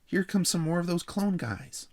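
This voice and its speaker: Guy doing a whiny kid brother for a storybook, high pitched and loud, blurts everything out. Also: animated, a little fast. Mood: loud announcing. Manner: loud, complaining. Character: loud, whiny, blunt little boy, younger brother. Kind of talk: children's audiobook character voice.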